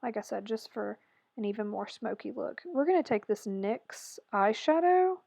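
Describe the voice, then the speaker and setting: A woman with a hoarse, raspy voice chatting through a makeup tutorial voice-over, rambling and correcting herself as she goes. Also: on the high side, animated, moderate pace, mellow, no room sound, studio quality. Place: voice-over recorded separately from the video.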